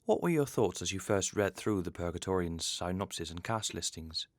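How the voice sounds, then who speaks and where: A man's voice: low-pitched, expressive, normal speed, no room sound, studio quality. A man hosting an interview series, reading formal, scripted introductions and questions.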